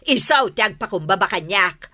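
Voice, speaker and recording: Aktres na matalas, palasigaw na matandang ina. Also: female voice, mid-range voice, animated, normal speed, bright, forceful tone, no echo, clean studio sound. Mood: stubborn. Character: proud, obstinate older village mother. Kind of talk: Ilocano comedy radio drama acting.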